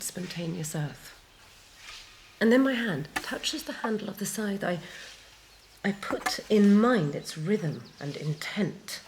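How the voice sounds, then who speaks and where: A woman playing a contemplative heroine who recounts her work in a measured, reflective monologue, with phrases that sink into a hush. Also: medium pitch, a little hiss or hum. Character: contemplative female hero recounting harvest work with a scythe. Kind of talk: dramatic monologue, reflective first-person narration.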